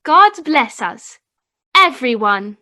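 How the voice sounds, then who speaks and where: A woman's voice: a little high, very animated, takes it slowly, pushed and bright, heavily acted. A woman playing a sweet, devout, frail little boy in a radio play, innocent and eager in manner. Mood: earnest, sweet.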